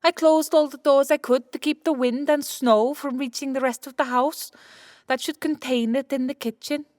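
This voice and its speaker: Actress as brisk clinical woman scientist, firm, matter-of-fact, fairly high pitch, dry studio audiobook drama. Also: steady tone, a little mellow, no room sound, close to an everyday voice. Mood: firm, controlled. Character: Santa's brisk, clinical scientist wife, immortal, coolly determined.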